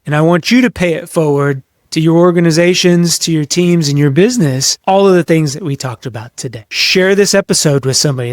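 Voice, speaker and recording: A man's voice: a little high, no echo, studio quality. A man hosting a leadership podcast, coaching listeners directly in an earnest, emphatic way and repeating key phrases for stress. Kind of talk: motivational coaching monologue, direct address to listeners.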